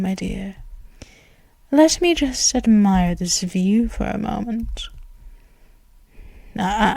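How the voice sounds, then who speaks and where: A woman voicing a seductive, dominant female vampire in an ASMR roleplay, speaking in a slow, purring, whispery, teasing way. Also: medium pitch, a little mellow, dry recording. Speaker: audio roleplay performer.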